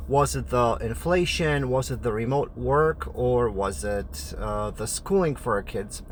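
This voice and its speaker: A man's voice: mid-range voice, fairly bright tone, slight background noise. Man with a Polish accent vlogging. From Poland. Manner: direct, frequent "uh" fillers. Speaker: family travel vlogger. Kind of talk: casual explainer vlog.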